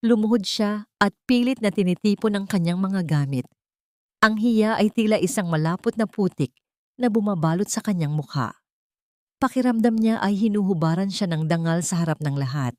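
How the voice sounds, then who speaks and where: A woman with a low voice narrates a melodrama audiobook in a measured, dramatic way. The recording is close and dry, with no room echo. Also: a little mellow.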